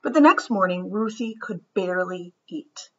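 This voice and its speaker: Woman storybook reader, expressive and gentle, bright voice, dull lo-fi recording. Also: mid-range voice, normal speed, slight background noise. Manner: expressive storytelling, gentle and reflective when talking afterward. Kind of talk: children's read-aloud.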